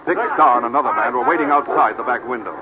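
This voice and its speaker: Male narrator, medium pitch, dramatic scripted vintage radio delivery, noisy dull recording. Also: fast.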